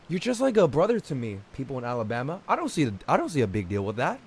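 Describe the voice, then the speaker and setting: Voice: medium pitch, a little hiss or hum. A streamer giving casual game commentary, joking, slangy and swearing freely.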